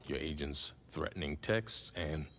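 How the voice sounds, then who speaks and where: An actor playing a jovial, chummy sports interview host in a game cutscene, with a joking, familiar manner and faint noise behind. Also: male voice, a bass voice, unhurried. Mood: reassuring. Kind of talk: casual naturalistic game cutscene acting.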